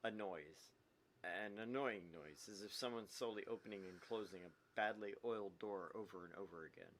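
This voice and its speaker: A man's voice: fairly low pitch, moderate pace, fairly bright tone, a little hiss or hum, natural voice. A man voicing an anguished, obsessive, vindictive young man in a dramatic read-aloud of a visual novel. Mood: numb dread.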